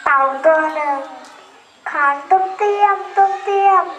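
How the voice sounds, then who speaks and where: A young girl reciting a children's fable to an audience in a deliberate, memorized way. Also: very high-pitched, a bit bright, dull audio, slight background noise. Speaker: storyteller. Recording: echoey room, distant ambient sound.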